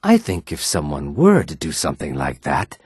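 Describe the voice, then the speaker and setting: Very deep husky male lizard-folk adventurer, calculating, measured, dry close sound, game dialogue. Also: wildly swooping pitch, dry recording, exaggerated voice. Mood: sly, persuasive. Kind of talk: video game character dialogue.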